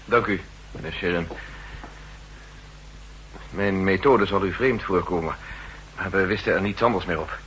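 An actor playing a worried, earnest official seeking help, speaking formally and tensely in a 1960s radio drama. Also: male voice, sits low, measured pace, pauses often, fairly bright tone. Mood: anxious, earnest. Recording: noisy, humming old radio recording.

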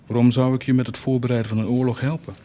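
A man's voice: medium pitch, flat delivery, racing, lightly acted. An actor playing a proud, fervent nobleman set on doing good, declaiming with theatrical intensity in a radio drama. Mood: defiant, scornful. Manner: declamatory, impassioned, swinging to hushed intensity.

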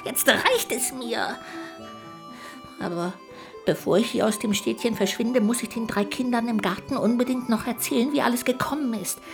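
A woman voicing a small, contrite, childlike ghost in a children's radio play, earnest, lamenting and expressive. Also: on the high side, moderate pace, soft background music, close to an everyday voice. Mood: dismayed, self-reproachful. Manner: expressive, lamenting, swinging between exclamations and hushed confiding.

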